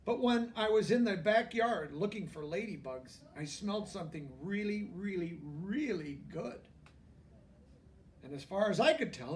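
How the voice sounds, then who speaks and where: High-voiced man reading a children's story. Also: distant mic, slight background noise. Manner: explains asides simply to young listeners. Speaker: storybook reader. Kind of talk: children's picture book read-aloud, expressive narration.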